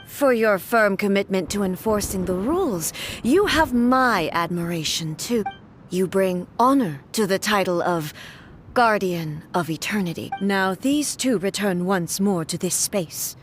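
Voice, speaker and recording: A woman's voice: mid-range voice, expressive, natural voice. Woman playing stern immortal warrior goddess in a game, grand solemn speeches, some music behind. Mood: solemn, admiring. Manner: grand, solemn, deliberate declamation. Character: stern, ageless warrior goddess devoted to eternity. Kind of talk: English video game voice acting.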